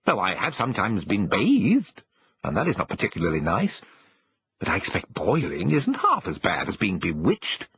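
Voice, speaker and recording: An indignant little storybook dog , acted out by a man, English, petulant and emphatic. The voice is husky and leaps about in pitch, on a slightly dull recording. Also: wildly swooping pitch, normal speed, full character voice. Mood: huffy. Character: indignant little toy dog, once real, audiobook.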